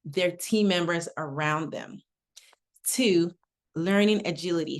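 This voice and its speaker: A middle-aged woman from Nigeria presenting a webinar talk in a conversational way, with personal stories. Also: on the low side. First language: English. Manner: conversational, uses personal anecdotes. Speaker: webinar speaker.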